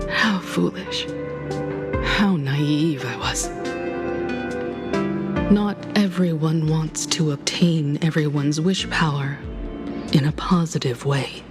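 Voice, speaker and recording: An actress voicing a somber, composed woman haunted by the past, speaking in a measured, reflective way in a video game. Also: lowish voice. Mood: grave. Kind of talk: dramatic video game voice acting. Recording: loud music under the voice. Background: game music.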